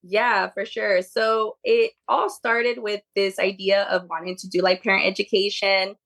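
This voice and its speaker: A woman's voice: medium pitch, little pitch movement, unhurried, gravelly, fairly bright tone. A woman whose first language is Spanish, chatting informally as a podcast guest about her work. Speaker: podcast guest, behavior therapy tutor. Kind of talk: casual conversational interview.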